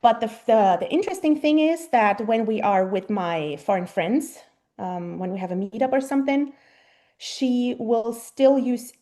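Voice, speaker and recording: A German-born woman in her thirties, a native German speaker, answering interview questions thoughtfully with frequent pauses and ums. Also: fairly high pitch, lively intonation, dark tone. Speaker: guest mother. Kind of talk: casual interview conversation.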